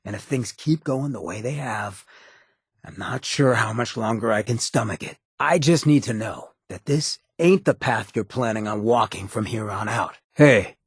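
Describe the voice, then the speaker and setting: An actor playing a swaggering, sardonic but loyal male ghoul rebel in a video game, with a very raspy, gravelly American voice and a streetwise, folksy drawl. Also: fairly low pitch, no room sound, studio quality. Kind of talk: video game companion voice acting.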